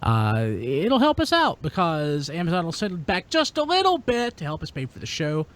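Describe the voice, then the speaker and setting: A man with a slightly high voice hosts a casual podcast. His pitch moves around in a lively way, and he talks with a wry, joking manner. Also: normal speed. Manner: jokey, wry. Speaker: podcast host.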